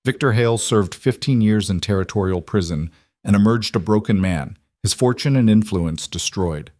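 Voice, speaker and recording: A man narrating a scripted story in a measured, even way. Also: fairly low pitch, no echo, studio quality.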